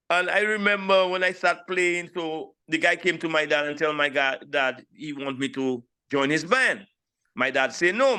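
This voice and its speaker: A man in his sixties with a St. Lucian accent, telling his story casually as an interview guest, animated and repeating phrases for emphasis. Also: a little high, smooth. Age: 60s. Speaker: interview guest, steel pan player.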